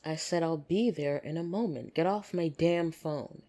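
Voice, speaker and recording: A woman voicing a lonely, flirtatious vampire in an ASMR roleplay, soft and breathy, teasing and intimate with hesitant pauses, tender yet easily irritated. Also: low-pitched, natural voice. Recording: soft piano music behind.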